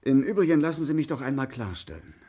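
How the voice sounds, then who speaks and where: A man's voice: medium pitch, big pitch swings, fast, dark tone, husky. An actor playing a dismissive, evasive industry spokesman in a German radio play, formal and defensive.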